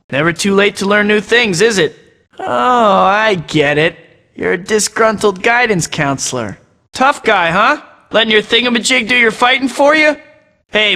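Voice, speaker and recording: A man's voice: sits high, pushed and bright, big-room sound, heavily acted. An actor voicing a wisecracking young male superhero in a video game, trading sarcastic banter. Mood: taunting. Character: wisecracking young male web-slinging hero. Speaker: game hero voice clips. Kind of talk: scripted game voice acting.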